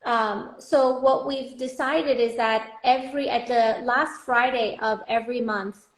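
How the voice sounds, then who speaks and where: A friendly woman organizer chatting about upcoming events. Her voice is a touch higher than most. It sits in a noticeably echoey room. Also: steady tone, measured pace. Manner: friendly, a little rambling. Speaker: guest community organizer. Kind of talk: casual chat.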